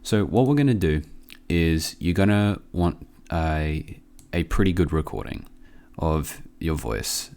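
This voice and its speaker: Man, low pitch, under the weather, casual tutorial presenter, halting, low-key, close microphone. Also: expressive, a little mellow. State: sick with a cough. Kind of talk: casual screen-recorded software tutorial.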